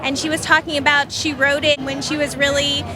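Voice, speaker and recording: A woman's voice: high voice, level tone, a little fast, low-quality recording. A woman from Chicago, enthusiastic and chatty, interviewed outdoors. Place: outdoors at a Pride event.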